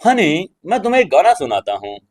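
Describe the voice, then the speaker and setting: A man voicing a lovestruck young husband in an audio drama, pleading and coaxing with shameless flattery. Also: high voice, fairly quick, no room sound, studio quality, pitched above the performer's own voice.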